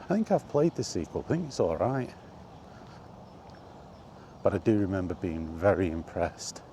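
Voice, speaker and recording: A man hosting a casual, rambling chat, thinking aloud with hesitant pauses. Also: deep voice, very animated, dark tone. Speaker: video host reminiscing about games. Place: on the move, walkie talkie vlog. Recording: faint ambient background noise.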